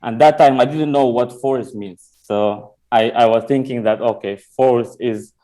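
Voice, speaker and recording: Halting Sudanese-born man doing stand-up. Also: medium pitch, dark tone, rough recording. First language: not English. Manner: halting, many "uh" pauses, searching for words. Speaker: stand-up comedian. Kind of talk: stand-up set.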